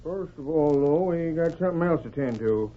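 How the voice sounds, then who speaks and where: A man playing a gruff, no-nonsense, bossy Western sheriff with a folksy drawl in an old radio drama. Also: a little high, lively intonation, moderate pace. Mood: stern, challenging. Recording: dull, lo-fi old radio recording.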